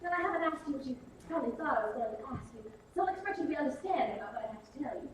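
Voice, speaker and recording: A highly strung belle. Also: female voice, expressive, rapid-fire, noisy background. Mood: anguished. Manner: theatrical, breathy, swings to near hysteria. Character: fragile, faded Southern belle, highly strung. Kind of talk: 1950s film drama. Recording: echoey, distant old film soundtrack.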